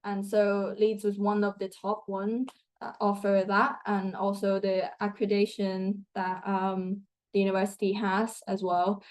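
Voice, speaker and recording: A woman's voice: mid-range voice, completely flat delivery, unhurried, a little mellow, rough recording. A young woman from Thailand, a student guest answering interview questions in a chatty way, with many fillers and restarts. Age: young adult.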